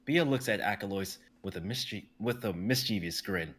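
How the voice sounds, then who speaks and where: A man narrating a live dramatic reading in a steady, plain way. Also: fairly low pitch, moderate pace, slight background noise.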